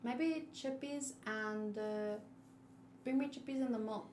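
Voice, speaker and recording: A woman's voice: on the high side, slow, a little mellow, a little hiss or hum. A woman with a South African accent chatting casually on a game livestream from home. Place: room at home used for streaming.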